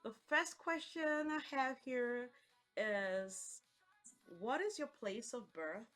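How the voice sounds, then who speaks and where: A woman's voice: on the high side, animated, takes it slowly, a bit bright, faint music behind. A woman hosting an interview in a friendly, chatty style with an effusive, encouraging manner. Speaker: host.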